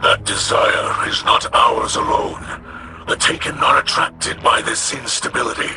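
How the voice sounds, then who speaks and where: A man voicing a proud alien warrior-noble in a video game, formal and solemn, with a breathy, hoarse rasp. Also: on the low side, fairly even intonation, heavy background noise, natural voice. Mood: grave. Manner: formal, solemn, measured diction. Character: grave, proud male alien warrior-noble of a fallen house. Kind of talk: scripted video game voice acting. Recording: game music behind the voice.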